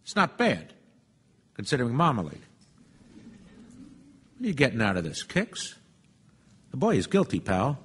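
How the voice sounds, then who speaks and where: A man's voice: mid-range voice, huge pitch swings, normal speed, pauses often, slightly rough. An actor playing a brash, impatient, wisecracking salesman juror in a radio drama, slangy and sarcastic. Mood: smug needling.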